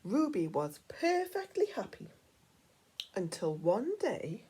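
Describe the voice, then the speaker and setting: A woman reading a children's picture book aloud like a teacher, with dramatic storytelling that dips into hushed, breathy tones. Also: medium pitch, big pitch swings, slow.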